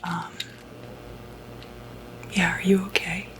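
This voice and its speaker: Casting: female, breathy whisper, unhurried, gentle non-judgmental doctor for ASMR medical roleplay, slightly low pitch. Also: little pitch movement, noisy background. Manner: gentle, reassuring, hesitant bedside talk. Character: sympathetic, non-judgmental doctor who treats demonic possession.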